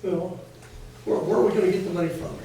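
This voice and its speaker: A man's voice: high voice, fairly even intonation, fast talker, mellow. An American man answering residents as an official at a community meeting, explaining things in a plainspoken, folksy way. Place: indoor community meeting room, recorded from afar. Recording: echoey room, distant mic, faint noise.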